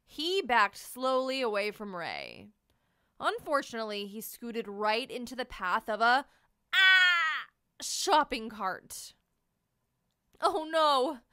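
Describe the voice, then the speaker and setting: A woman reading a children's storybook aloud as host, in expressive scripted narration with dramatic, drawn-out emphasis on key words. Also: on the high side, smooth, no room sound, studio quality.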